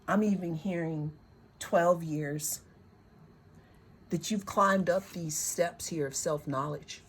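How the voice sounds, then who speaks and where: A woman giving a reflective spiritual card reading, her voice hoarse, talking in unhurried, circling phrases that often repeat words. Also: on the low side. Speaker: tarot and oracle card reader.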